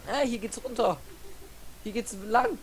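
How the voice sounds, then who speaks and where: A young woman with a rather high voice plays through a game with friends. She keeps calling out short, repeated directions and jokes around playfully. Her talk is relaxed, casual gaming chat. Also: a little slow, slight background noise. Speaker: Let's Play gamer.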